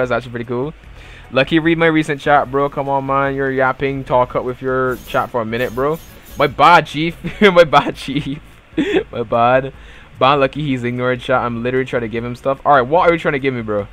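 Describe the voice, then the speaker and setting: A man's voice: mid-range voice, a bit bright. A young man with a Bajan accent, chatting casually on a live game stream and answering his chat in loose, slangy banter. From Barbados. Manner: laid-back slangy banter, trailing off into mumbled fillers. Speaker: gaming livestreamer.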